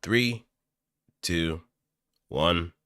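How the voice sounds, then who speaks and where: A man's voice: low-pitched, very slow, a bit bright, clear, resonant tone, no echo, studio quality. A man talking casually to himself as he works through a voice recording practice session. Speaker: amateur voice actor.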